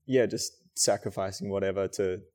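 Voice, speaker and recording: Young man, low voice, laid-back, reflective, interview guest, filler-heavy talk. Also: normal speed. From Australia. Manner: relaxed, reflective, many filler "like"s. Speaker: guest, choreographer and dancer. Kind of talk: casual interview chat.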